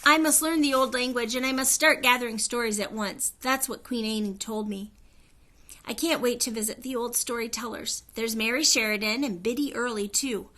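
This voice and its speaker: A woman voicing an eager, wonderstruck young woman in a children's storybook, talking in an excitable, breathless manner. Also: a little high, moderate pace. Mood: eager, urgent. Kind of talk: children's storybook read-aloud.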